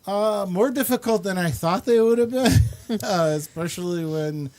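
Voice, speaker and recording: A man hosting a podcast in a casual, chatty way, with halting and hesitant speech. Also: sits high, darkish tone. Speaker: podcast host. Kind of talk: casual chat.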